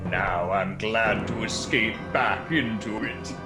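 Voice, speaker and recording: A man playing a sinister, grandiose prodigal nephew in a radio drama, pompous and deliberate. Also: fairly low pitch. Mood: smug, menacing. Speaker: radio play actor. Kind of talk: theatrical radio drama acting. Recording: roomy echo with faint music.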